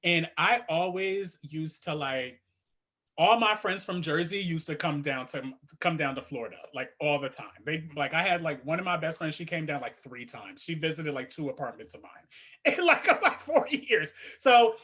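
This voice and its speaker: A young woman chatting casually as host, sassy and animated, laughing often. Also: deep voice, normal speed, husky. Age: young adult. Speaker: podcast host. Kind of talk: casual chat.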